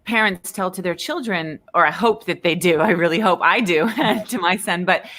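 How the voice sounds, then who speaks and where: A woman's voice: lowish voice, moderate pace, a bit bright. A woman hosting a livestream interview over a video call, talking in a chatty, affirming way. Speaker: livestream host.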